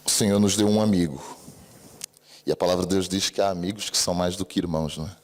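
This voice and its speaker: A man with a deep, low voice preaches in a church, his Brazilian accent mixed with a European Portuguese lilt. He speaks earnestly and keeps turning to the congregation for an amen. A long reverb from the church hall trails behind every phrase. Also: steady tone, fast. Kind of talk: church sermon.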